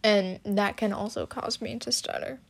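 A young woman talking about her own experience, hesitant and halting, with many fillers and restarts. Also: mid-range voice, fairly even intonation, creaky, slight background noise. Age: young adult. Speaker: student podcaster. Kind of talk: personal talk about her stutter.

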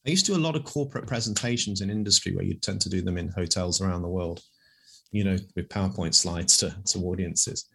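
Fast-talking man with gravelly, husky voice. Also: deep voice. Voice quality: loud voice that carries. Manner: fast, animated, speaks off the cuff. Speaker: animal advocacy campaigner, guest. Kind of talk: conversational interview answers.

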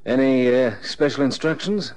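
An actor playing an unflappable detective's operative in a radio drama, casual, terse and matter-of-fact. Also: male voice, mid-range voice, moderate pace, a little mellow, natural voice, low-quality recording. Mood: wry. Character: laconic, unflappable male detective's operative, eye for women.

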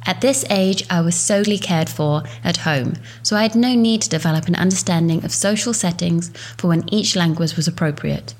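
A woman in her thirties from England, giving a scripted, formal narration for a teaching presentation in a measured way. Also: fairly low pitch. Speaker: education student presenting.

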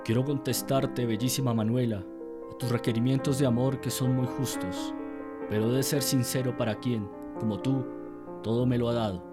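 A man playing a tender, solemn military lover reading out a love letter in a radio drama, measured and intimate. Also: medium pitch, steady tone, over loud music, close to an everyday voice.

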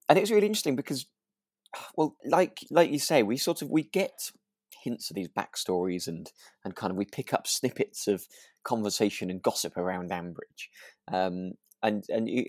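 A man's voice: on the low side, animated, fairly quick, darkish tone, no room sound, clean studio sound. A man with a British accent co-hosting a podcast in casual chat, thinking aloud in a rambling, hesitant way. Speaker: podcast co-host.

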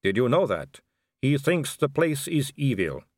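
A man voicing an aristocratic lady vampire in an audiobook, smooth, measured, knowing and condescending. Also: medium pitch, very animated, no room sound, studio quality, voice pushed up. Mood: knowing, weary. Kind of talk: audiobook character dialogue.

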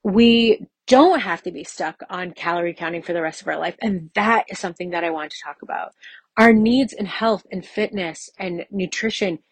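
A woman's voice: on the low side, normal speed, slightly rough, no echo. A woman in her mid-forties hosting a solo podcast, talking in a personal, conversational way and repeating phrases for emphasis. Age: mid-40s. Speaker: podcast host.

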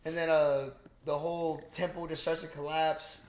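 A young man co-hosting a podcast, recapping a movie in casual, slangy, excitable talk with plenty of swearing. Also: fairly high pitch, moderate pace. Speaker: podcast host. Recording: roomy, echoing room sound.

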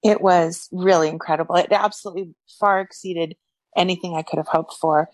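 A woman's voice: lowish voice, fairly even intonation, fairly quick, no room sound. A woman co-hosting a podcast in casual chat, upbeat and earnest. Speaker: podcast co-host.

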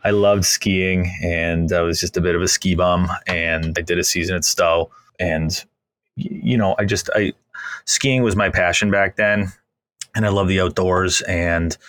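A man, a chef guesting on a podcast, who rambles cheerfully and pokes fun at himself. His voice sits very low, and the recording is dry with no room sound. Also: fairly bright tone, dry recording. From Massachusetts, US. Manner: chatty, rambling, easygoing with self-deprecating humor. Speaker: chef and restaurant owner, guest. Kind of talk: casual interview storytelling.

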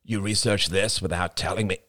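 A man's voice: sits low, fairly even intonation, a little fast, pushed and bright, no room sound, clean studio sound. A man voicing an angry, exasperated young man in an audiobook, biting, incredulous and accusatory with his partner. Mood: angry, sarcastic.

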